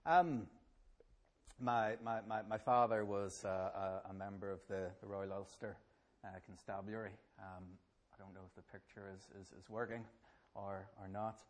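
A middle-aged minister with a Northern Irish accent speaks to an audience in a fairly low voice. He talks haltingly and stumbles over repeated words as he tells his story. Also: steady tone. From Northern Ireland. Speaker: Presbyterian minister. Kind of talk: personal testimony at a public event.

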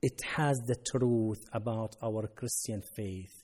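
Man, from Syria, Arabic native speaker, mellow, earnest testimony, halting English. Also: lowish voice, breathy edge. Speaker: ministry worker. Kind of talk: personal testimony talk.

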